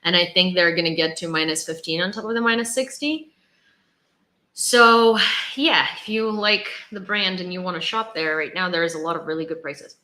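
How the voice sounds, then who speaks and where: A young woman from Ukraine hosts a relaxed livestream, rambling through chat with lots of fillers. Her voice is bright and a little tense, and it rings in an echoey room. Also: on the low side, moderate pace. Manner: chatty, rambling, many fillers and restarts. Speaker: livestream host. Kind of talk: casual livestream chat, reading viewer comments.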